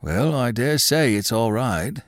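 A man's voice: mid-range voice, animated, slow, dry recording, studio quality, voice pushed up. A man doing a bothered police detective. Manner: uneasy, puzzled.

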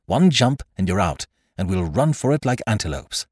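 A man's voice: lowish voice, big pitch swings, moderate pace, breathy edge, no echo, clean studio sound. A man voicing a grave, sad old doctor. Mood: urgent. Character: stern, kindly older male doctor.

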